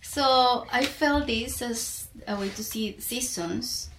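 A woman's voice: fairly high pitch, background noise. A woman from Colombia with a Colombian accent, a native Spanish speaker, talking reflectively and searching for words as a guest. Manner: halting, searching for words in a second language. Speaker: podcast guest, poet and teacher. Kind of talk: casual reflective conversation.

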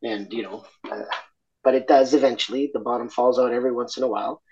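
A man from Argentina speaking candidly as an interview guest, reflective and halting, with many fillers and restarts. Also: mid-range voice, fairly even intonation, darkish tone, lo-fi sound.

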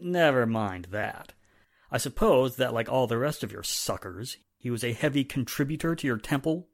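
A man performs a police inspector from a vintage radio mystery. His mid-pitched voice swings widely. He is blunt and curt, forever questioning. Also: animated, moderate pace, vocal fry. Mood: curt, suspicious. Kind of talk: 1940s radio drama acting.

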